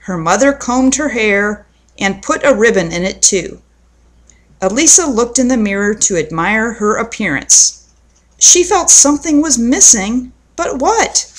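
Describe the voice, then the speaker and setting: A woman storyteller reading a children's story aloud. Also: medium pitch.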